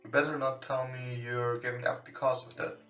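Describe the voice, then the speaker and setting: A man who is not a native English speaker, casually commentating on a game and reading its text aloud in hesitant, halting English. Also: medium pitch, level tone. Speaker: let's play host. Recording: roomy, distant mic with music behind. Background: soft guitar game music.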